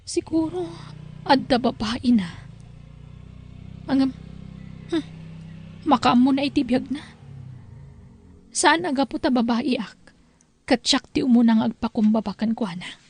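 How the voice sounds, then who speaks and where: A woman's voice: high-pitched, unhurried, long pauses, slight background noise. A woman playing an ailing, weary young married daughter who hides her illness, with an Ilocano accent, in a radio-style drama. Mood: weary, resentful. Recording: music behind the voice.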